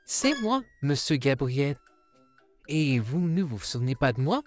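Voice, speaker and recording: A man voicing a friendly living puppet in a French comic dub, speaking in a courteous, gentle way. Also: medium pitch, sing-song, a little slow, no echo, soft background music. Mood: polite, apologetic. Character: polite, friendly male puppet come to life.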